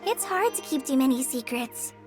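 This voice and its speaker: A modest, kindly teenage girl in a video game. The delivery is gentle and a little hesitant, in a high youthful voice, with clear music behind it. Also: expressive, moderate pace. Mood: reflective, reassuring. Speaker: voice actor in a game. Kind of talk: video game story dialogue.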